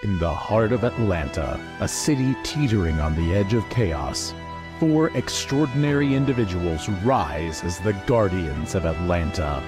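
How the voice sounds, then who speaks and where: A man delivering scripted promo narration in a grand, dramatic trailer style. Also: fairly low pitch. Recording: prominent music bed under voice.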